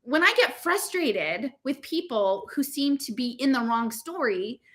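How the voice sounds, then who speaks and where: A woman's voice: high-pitched, animated, measured pace. An American woman giving an informal, impassioned monologue to online listeners, emphatic and exasperated, rambling through rhetorical questions. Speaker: podcast host reading her blog aloud with commentary.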